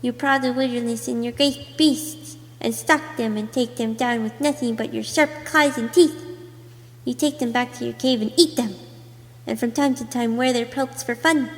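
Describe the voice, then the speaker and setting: A woman's voice: on the high side, fairly even intonation, normal speed. A woman reading scripted second-person narration as an amateur voice actor, in a performed storytelling way. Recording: echoey, long room reverb.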